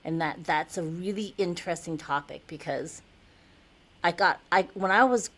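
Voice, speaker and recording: A woman's voice: on the low side, moderate pace, fairly bright tone. A woman hosting a podcast interview, born in Taiwan, asking questions in a conversational way and sometimes restarting her sentences mid-thought. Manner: enthusiastic scripted intro. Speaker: podcast host.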